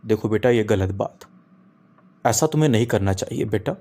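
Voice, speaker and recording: A man's voice: on the low side, moderate pace, mellow. A man voicing an exasperated, preachy middle-aged father in a comic cartoon. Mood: indignant. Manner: preachy, foul-mouthed. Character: exasperated middle-aged Indian father scolding his wayward son.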